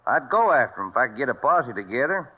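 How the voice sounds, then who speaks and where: Cautious drawling frontier sheriff. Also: male voice, mid-range voice, very animated, fast talker, husky. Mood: worried. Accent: American Western drawl. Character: cautious, worried small-town frontier sheriff. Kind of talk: 1950s radio western acting. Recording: dull old radio recording.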